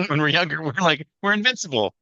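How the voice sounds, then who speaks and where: A man in his fifties hosting a podcast interview, agreeable and quick with short reactions. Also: a little high, expressive, bright, forceful tone, no room sound, muffled recording. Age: 50s. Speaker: podcast host. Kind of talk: casual interview. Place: at home in another country, on a video call.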